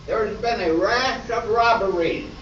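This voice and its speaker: A by-the-book police sergeant acted by a man in a radio-play parody. The voice is high for a man and very slow, with deadpan delivery, caught in a muffled, echoey room. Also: recorded from a distance, a little hiss or hum. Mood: businesslike. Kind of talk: amateur stage radio play, narrated police-drama parody.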